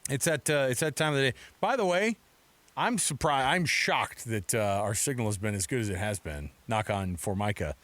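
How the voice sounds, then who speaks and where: A man's voice: mid-range voice, lively intonation, normal speed, fairly bright tone, no room sound. A middle-aged American man hosting sports radio in a casual, chatty, rambling way from a studio mic. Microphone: close studio microphone.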